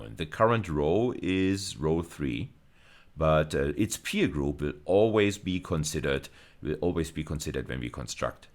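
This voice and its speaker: A male university lecturer speaks in a very low, deep register. His intonation moves up and down in a lively way as he explains. He works methodically, restating phrases to stress them. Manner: methodical, repeats phrases for emphasis. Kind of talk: explanatory video lecture with emphatic repetition.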